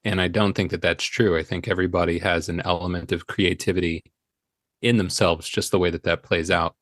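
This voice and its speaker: A man talking thoughtfully and casually as a podcast guest, pausing as he works through his ideas. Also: deep voice, fairly even intonation, fairly bright tone, no echo, studio quality. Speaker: podcast guest, indie audio drama creator. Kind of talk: reflective casual interview talk.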